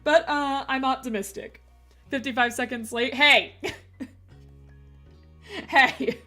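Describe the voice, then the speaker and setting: A woman streamer with a slightly hoarse voice, chatting casually and giggling as she talks to her chat. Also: high voice, fairly even intonation, slow, sharp tone, faint music behind.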